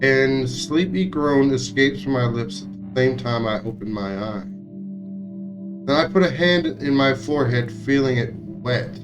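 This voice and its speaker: A man streaming a visual novel, reading its text aloud in a somewhat halting way with occasional stumbles. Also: mid-range voice, lively intonation, music in the background. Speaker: game streamer.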